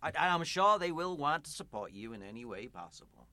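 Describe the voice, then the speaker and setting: A man's voice: on the high side, very animated, fairly quick, pushed and bright, heavily acted. A man acting in a radio play as a kindly but evasive church pastor, stammering and placating in naturalistic dialogue. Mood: placating, encouraging.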